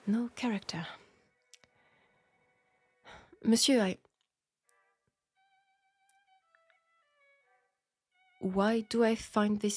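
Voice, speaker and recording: A woman's voice: mid-range voice, breathy edge, full character voice. A woman with a French accent playing a polite, formal, self-assured seamstress in a steampunk audio roleplay drama. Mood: critical, dry. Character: proud, outspoken, formal young seamstress in steampunk Paris.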